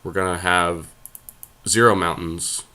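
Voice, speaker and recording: A man's voice: deep voice, fairly even intonation, a little slow, bright, forceful tone. A man casually commentating as he builds a card deck, thinking aloud and making decisions as he goes. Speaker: podcast host playing a card game.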